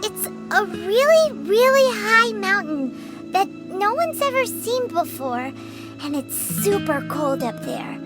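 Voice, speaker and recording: A woman voicing a small, chatty fairy companion in a video game, squeaky and childlike, referring to herself in the third person. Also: big pitch swings, takes it slowly, loud music behind the voice, full character voice. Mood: excited, urgent. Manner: speaks of self in third person.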